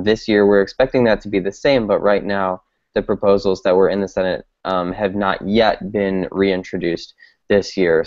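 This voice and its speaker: A man's voice: deep voice, darkish tone, no room sound. A young man in his twenties, a campaign organizer, explaining things on a video call with many ums, from a room at home through earphones. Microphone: earphone headset on video call. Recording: dull lo-fi video call sound.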